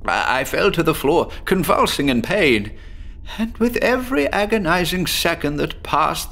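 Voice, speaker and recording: A stammering, haunted patient. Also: male voice, a little high, gravelly, a bit bright, full character voice. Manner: stammering, frantic, confessional. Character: haunted male patient recounting horror, frantic. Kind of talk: audio drama monologue.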